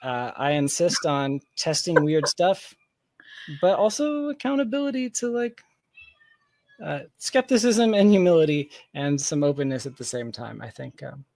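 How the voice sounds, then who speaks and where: A man's voice: fairly high pitch, moderate pace, vocal fry, darkish tone, no room sound. A man speaking as an interview guest in casual, reflective conversation, searching for his phrasing as he goes. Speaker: interview guest, tech founder.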